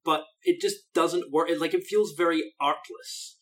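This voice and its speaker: A man talks on a podcast with a voice set a little higher than most men's. He gives his opinions bluntly, stopping and restarting mid-thought. The tone stays casual and conversational. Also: fairly even intonation. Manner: frank, halting, with many restarts. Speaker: podcast co-host. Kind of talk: casual critical chat.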